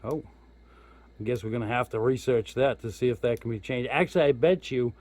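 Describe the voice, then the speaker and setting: A man's voice: mid-range voice, a little fast, a little mellow, dry recording. A man giving a casual hands-on product walkthrough as a hobbyist reviewer, informal and thinking aloud as he goes. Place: indoors at home.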